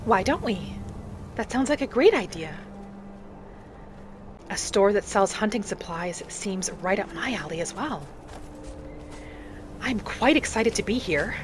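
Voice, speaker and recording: Woman playing a curious, lively-pitched wood elf traveler. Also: measured pace, pauses often, background noise, lightly acted. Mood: pleased. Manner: gentle, courteous, slightly formal, musing aloud. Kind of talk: in-character game roleplay commentary. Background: faint music.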